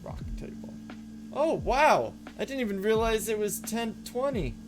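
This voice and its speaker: A man's voice: high-pitched, sing-song, unhurried, low-quality recording. A man streaming a game, rambling casually, answering chat and muttering to himself as he plays. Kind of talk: casual live-stream chat while playing. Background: background music.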